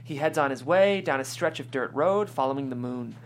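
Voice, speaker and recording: A woman's voice: extremely low pitch, lively intonation, a little fast, a little hiss or hum. A woman reading scripted stage directions as the narrator at a table read.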